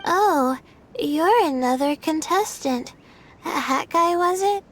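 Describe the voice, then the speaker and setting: A woman's voice: very high-pitched, animated, slow pace, a bit bright, slight background noise, exaggerated voice. A woman playing an anxious, hesitant young female student in a video game. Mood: hesitant, defensive. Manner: hesitant, fretful. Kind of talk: video game character acting.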